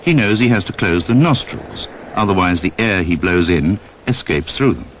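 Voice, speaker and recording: A man's voice: low-pitched, expressive, normal speed, a little hiss or hum. A man narrating a 1960s instructional film in a scripted, direct style that addresses the listener. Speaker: narrator of a public information film. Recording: dull band-limited 1960s film soundtrack.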